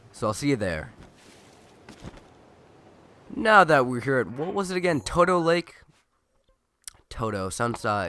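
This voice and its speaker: A young man with a cold, his nose stuffed and his voice raspy, rambling chattily and correcting himself as he comments on a video game. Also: on the low side, fairly bright tone. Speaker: let's play gamer. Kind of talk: casual gameplay commentary.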